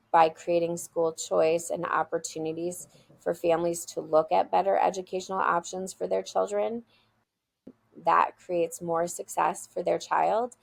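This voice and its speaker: A school founder being interviewed, she speaks with earnest emphasis and a rather low voice. Her tone carries a gravelly, creaky texture, and she often restarts a phrase before pushing on. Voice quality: loud voice. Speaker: school founder, podcast guest. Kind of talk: interview answers.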